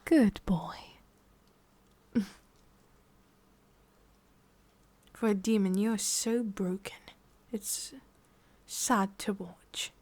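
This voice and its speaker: Woman playing a cruel female boss, English accent, breathy half-whisper, mid-pitched, taunting, condescending, mock-tender, ASMR roleplay. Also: fairly even intonation, darkish tone. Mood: condescending, menacing. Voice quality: breathy, often dropping into whispers. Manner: slow, taunting, condescending, with pauses and sighs. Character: sadistic, menacing female boss of demons, mockingly tender.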